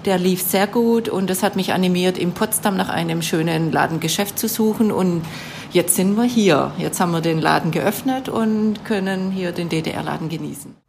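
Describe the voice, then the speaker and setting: Swabian woman shop owner, lowish voice, halting, lots of fillers, faint noise behind, recorded in her shop. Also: vocal fry, a little mellow. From Baden-Württemberg. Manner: hesitant, many fillers. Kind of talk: interview answers.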